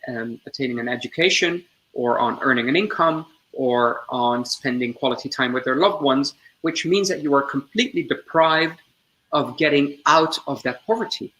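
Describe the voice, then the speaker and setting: Man in his 40s from the Netherlands, bright, forward voice, earnest webinar guest on a video call. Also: a little high, low-quality recording. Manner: earnest, explanatory, builds points step by step. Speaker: guest, social entrepreneur. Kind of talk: webinar storytelling and explanation.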